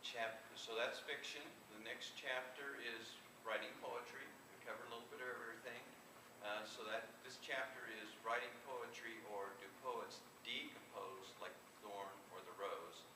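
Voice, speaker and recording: A man presenting his own book informally at a live event, with a halting, hesitant delivery. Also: medium pitch, steady tone. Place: convention room, live event. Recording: echoey, noisy room from far off.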